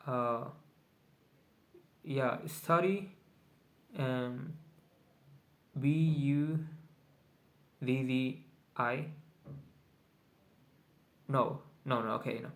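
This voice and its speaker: A man whose first language is Spanish talks through a casual video journal in English at a crawl. He hesitates constantly, stopping to hunt for the right words. His voice sits at an ordinary middle pitch. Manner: hesitant, searching for English words, many pauses; very slow, halting speech. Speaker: vlogger.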